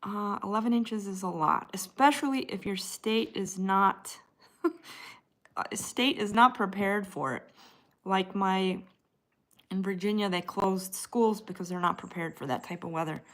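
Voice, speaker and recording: A woman's voice: mid-range voice, measured pace. A woman with an American Midwestern accent, hosting a casual livestream, chatting and replying to viewers by name as she moves from one comment to the next. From United States. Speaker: livestream host reading and answering viewer chat. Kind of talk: casual live chat with viewers.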